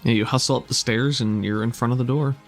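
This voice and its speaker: A man's voice: mid-range voice, steady tone, fast. Man narrating a game over music. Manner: descriptive, scene-setting. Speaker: game master. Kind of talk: improvised narration of a tabletop game.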